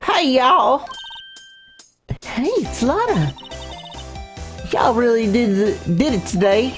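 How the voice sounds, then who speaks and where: A woman voicing a brash, folksy, scheming Southern photographer with a Southern US accent and a rambling, halting delivery in a voiced visual novel playthrough. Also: on the high side, sing-song. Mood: sheepish. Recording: faint game music behind. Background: video game music.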